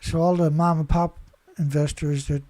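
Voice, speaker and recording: Male commentator, rambling and indignant, dark tone, dry close sound. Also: on the high side, little pitch movement, normal speed, dry recording. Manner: rambling, indignant, many restarts and "right?" tags. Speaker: commentator reading news. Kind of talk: casual monologue reading headlines with commentary.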